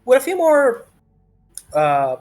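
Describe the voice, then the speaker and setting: A man's voice: extremely high pitch, wildly swooping pitch, takes it slowly, a little mellow, soft background music, low-quality recording. A man narrating a tabletop game as game master in a casual, improvised way, often searching for words.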